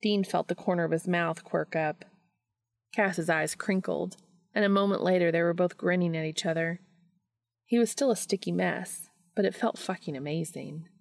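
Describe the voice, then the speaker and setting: A woman's voice: lowish voice, normal speed, creaky, no echo, studio quality. A woman reading scripted fiction as a podcast narrator and host.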